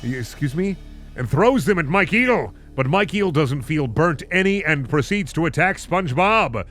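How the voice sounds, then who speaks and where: A man's voice: on the high side, expressive, normal speed, a bit bright, soft background music. A man hosting a comedic reading of bad fan fiction, narrating with incredulous, sardonic asides. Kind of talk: comedic narration with sarcastic commentary.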